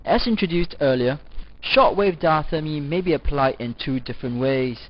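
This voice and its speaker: A man reading scripted educational narration as a lecturer, in a formal, measured manner. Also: on the high side, expressive. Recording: dull, lo-fi voice-over recording.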